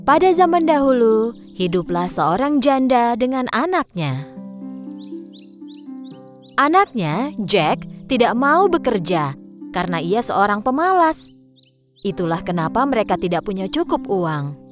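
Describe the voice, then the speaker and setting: A woman's voice: a little high, very animated, unhurried, pauses often, over music. A woman narrating a scripted fairy tale for children.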